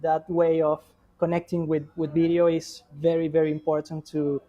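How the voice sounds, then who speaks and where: A man giving marketing feedback in a conversation, halting, with frequent restarts and fillers. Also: on the high side, completely flat delivery, mellow, highs cut off. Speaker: guest marketing expert. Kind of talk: reviewing examples in conversation.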